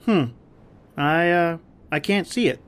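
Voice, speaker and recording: A man's voice: on the high side, slow, clear, resonant tone. A man acting a confident, dismissive big-game hunter who narrates his ordeal in an old-time radio drama. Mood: relaxed.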